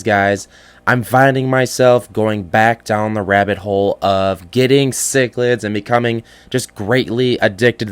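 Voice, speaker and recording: A hoarse man with a cold hosts a casual solo podcast, chatty and excitable. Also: medium pitch, no room sound. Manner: chatty and excitable, with frequent fillers. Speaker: podcast host.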